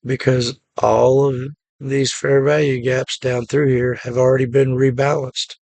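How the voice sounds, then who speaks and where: A man with a hoarse, gravelly voice from a cough, recapping a day trade in a relaxed, matter-of-fact way and repeating his points. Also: medium pitch, unhurried, no room sound. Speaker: day trading channel host. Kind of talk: casual screen-share trade recap.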